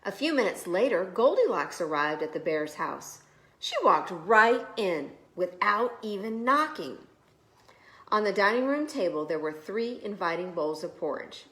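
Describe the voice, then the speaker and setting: A woman's voice: medium pitch, sing-song, measured pace, pushed and bright, echoey room. A woman preschool teacher reading a storybook aloud expressively to young children.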